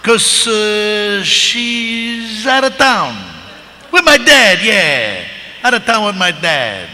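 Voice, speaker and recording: A man's voice: sits high, sing-song, slow, pushed and bright, pitched above the performer's own voice, exaggerated voice. A man voicing a cocky, streetwise cartoon wise guy in a live comedy script reading. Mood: breezy, shifty. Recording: echoey convention hall, background noise.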